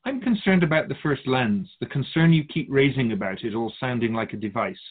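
A wise otherworldly keeper of souls from a radio drama acted by a man. He muses in a formal, philosophical way with gentle wryness, his voice rising and falling freely and slightly husky. It comes through a thin, phone-like band. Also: medium pitch, expressive, a little fast, natural voice. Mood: curious, gentle. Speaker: spirit guide being interviewed. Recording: muffled phone-like narrow band.

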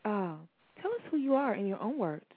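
A woman's voice: medium pitch, big pitch swings, slightly rough, dry recording. A woman hosting a call-in radio talk show, chatting easily with her guests and laughing often. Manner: chatty, laughs easily, slows to read out numbers. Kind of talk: casual call-in radio chat. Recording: tinny phone line.

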